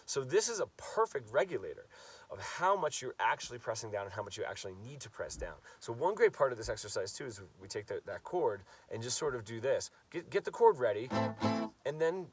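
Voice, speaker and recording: A man's voice: mid-range voice, very animated, brisk, husky, recorded from a distance. A man with a stuffy nose from a cold, teaching a casual guitar lesson, talking loosely and repeating words as he demonstrates. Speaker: guitar coach.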